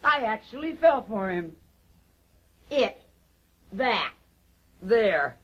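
An actress playing a bitter, taunting middle-aged wife in a stage drama, mocking and theatrical with drawn-out emphasis. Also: female voice, mid-range voice, very animated, husky, lo-fi sound, full character voice. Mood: goading. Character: bitter, taunting middle-aged wife telling stories about her husband.

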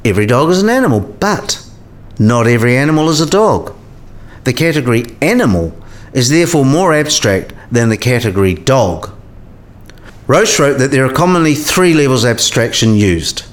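Man acting didactic professor with animated intonation. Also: medium pitch, moderate pace, natural voice. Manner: didactic, precise. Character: male professor patiently explaining category theory. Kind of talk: explanatory radio play dialogue.

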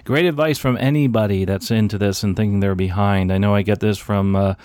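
A male podcast host with a low voice talks in a relaxed, encouraging way, circling back on his phrases as he thinks aloud. The sound is dry and close, with no room around it. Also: a little fast, no room sound. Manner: encouraging, rambling with restarts. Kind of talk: casual podcast chat.

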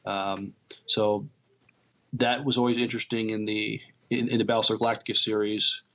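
Male, fairly low pitch, podcast co-host, casual delivery, halting and hesitant phrasing. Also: level tone, fairly bright tone. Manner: halting, hesitant, stumbling over words. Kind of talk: casual podcast talk and reading out news.

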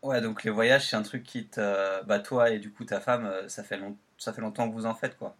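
A man's voice: fairly low pitch, fairly even intonation, moderate pace, a bit bright. A man hosting a travel podcast, chatting casually with frequent fillers and restarts. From France. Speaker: podcast host.

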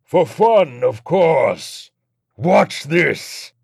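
Storybook demon, booming, taunting, sadistic, boastful, very dry sound. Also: male voice, very animated, vocal fry, slightly rough, no echo, heavily acted. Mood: sadistic glee. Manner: slow, taunting, drawn-out delivery.

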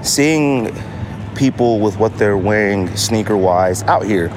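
Casting: American male podcast host, very unhurried delivery, casual and emphatic, recorded over loud urban street noise. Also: on the low side. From United States. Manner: emphatic repetition of phrases. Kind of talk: casual solo podcast monologue. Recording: noisy outdoor city street ambience. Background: street noise, traffic, banging.

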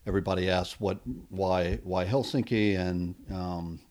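Deep-voiced middle-aged man. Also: unhurried. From United States. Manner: relaxed and rambling, with many fillers and restarts. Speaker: guest, venture capitalist. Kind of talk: casual interview answers.

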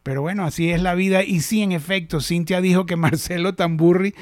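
A man's voice: high voice, steady tone, a little fast, no echo, clean studio sound. A middle-aged Venezuelan man hosting a casual industry chat, talking animatedly with many restarts. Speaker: host.

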